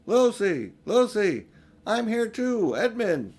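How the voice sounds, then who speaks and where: A sulky schoolboy from a storybook, acted out by a man reading aloud. His voice is pushed high and loud as he calls out and pleads grudgingly, unwilling to be wrong. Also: very animated, slow, husky, exaggerated voice. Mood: calling out. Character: sulky, self-excusing schoolboy shouting in a winter wood.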